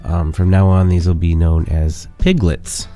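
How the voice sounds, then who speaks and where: A man's voice: low-pitched, level tone, a little slow, mellow, soft background music. A man in his late thirties with a cold, hosting a podcast in a stuffy, nasal voice, rambling with many fillers before reading a memoir story. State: sick with a cold. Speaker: podcast host. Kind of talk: casual monologue and memoir reading.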